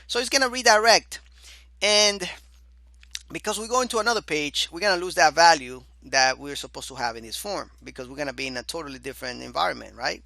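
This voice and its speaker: A man teaching a beginner programming course over his screen, casual and chatty, often saying "all right" and calling the listener "my dear student"; his voice is going hoarse. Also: fairly high pitch, fairly quick, bright, forceful tone, dry recording. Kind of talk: screen-recorded coding lecture.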